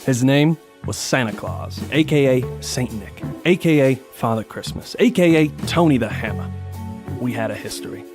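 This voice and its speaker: A man playing a hard-boiled, world-weary police detective in a noir radio drama, terse and deadpan. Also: medium pitch, normal speed, soft background music, natural voice. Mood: brooding.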